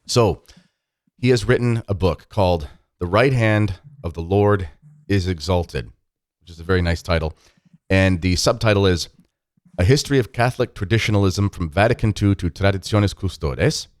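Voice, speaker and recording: A man's voice: sits low, moderate pace, no echo, studio quality. A man hosting an interview podcast, talking in a friendly, rambling way with many fillers. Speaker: podcast host.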